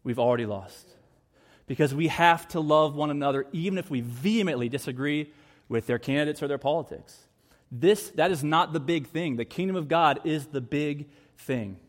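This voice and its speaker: A pastor preaching a sermon to his church. His voice sits on the higher side for a man, and he speaks earnestly, driving points home by repeating them. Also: moderate pace. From United States. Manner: earnest, with emphatic repetition.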